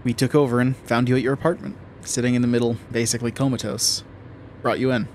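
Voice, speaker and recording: A man's voice: mid-range voice, little pitch movement, quick pace, darkish tone, slight background noise, close to an everyday voice. A man playing a guarded government agent in a horror audio drama, dry, clipped and matter-of-fact. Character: guarded, dry federal agent of an obscure underfunded division.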